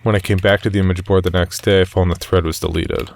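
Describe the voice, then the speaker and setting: A man narrating a scripted horror story in a measured, matter-of-fact way. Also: sits low, level tone, creaky, a little hiss or hum.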